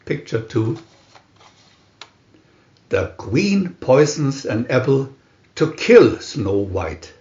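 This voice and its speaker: A man narrates a storybook at an extremely slow, deliberate pace. His mid-pitched voice moves in lively swings between measured pauses. The recording is roomy and somewhat muffled. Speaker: storybook reader. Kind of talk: scripted storybook narration, reading picture-reel captions. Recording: roomy, dull lo-fi sound.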